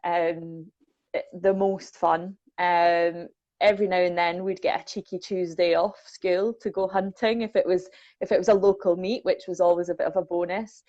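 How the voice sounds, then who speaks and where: A woman's voice: lowish voice, steady tone, moderate pace, dark tone, dry recording. A young Scottish woman from the Borders, a welfare manager chatting casually and self-deprecatingly with lots of ums. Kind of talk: casual chat. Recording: dull lo-fi sound.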